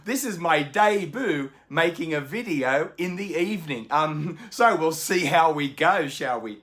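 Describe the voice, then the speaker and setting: A man hosting a book channel, speaking off the cuff from home in a chatty, courteous way. His voice sits fairly high for a man and is a little croaky, with frequent ums. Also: animated, measured pace, fairly bright tone. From Australia. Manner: chatty and polite, many ums and small laughs. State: slightly croaky, coughing. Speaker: BookTube host. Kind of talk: unscripted casual chat to camera. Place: home indoors in the evening.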